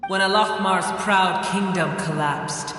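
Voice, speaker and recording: Ancient dragon in cavernous echo. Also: male voice, high voice, bright, forceful tone, slight background noise. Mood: solemn, ominous. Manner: grandiose, slow, deliberate. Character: ancient proud male dragon, weary and ominous. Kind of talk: dramatic video game voice acting. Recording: long cavernous reverb, music behind.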